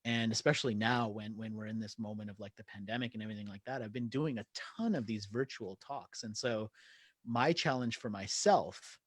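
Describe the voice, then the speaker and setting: A man's voice: fairly low pitch, fairly quick, no echo, studio quality. A man speaking as an interview guest, telling stories in a casual, conversational way.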